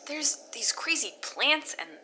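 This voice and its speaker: Play her as a chatty young woman leaving a voicemail , an actress voicing her. Keep the tone very bright, with faint road noise behind her. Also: sits high, sing-song, slow pace, full character voice. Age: young adult. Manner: chatty, upbeat, a little breathless.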